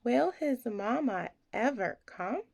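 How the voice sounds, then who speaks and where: A woman reading a children's bedtime story aloud in an expressive, theatrical way that acts out the emotions in the words. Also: a little high, takes it slowly, creaky.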